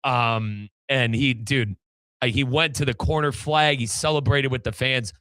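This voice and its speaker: Casting: American male soccer podcast host, forceful bright voice, informal and chatty, close dry sound. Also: mid-range voice, steady tone, a little slow, clear, resonant tone, dry recording. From United States. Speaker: sports podcast host and play-by-play commentator. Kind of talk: casual, animated soccer discussion.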